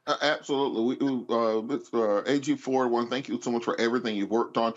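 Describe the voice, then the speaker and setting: A man's voice: mid-range voice, steady tone, quick pace. An American man speaking as a panelist, framing a question on a political discussion show. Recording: dull, lo-fi remote audio.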